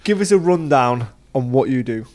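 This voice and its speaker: A man's voice: medium pitch, animated, normal speed, darkish tone. A man hosting a podcast, asking a guest questions in a casual interview style. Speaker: podcast host.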